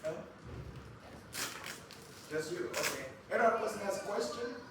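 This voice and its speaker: A man's voice: high-pitched, expressive, moderate pace. A man from Cameroon giving an informal talk to a class of children, chatty and quizzing the audience. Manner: chatty, asks the audience questions. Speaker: guest speaker. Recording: echoey room, mic far off, background noise.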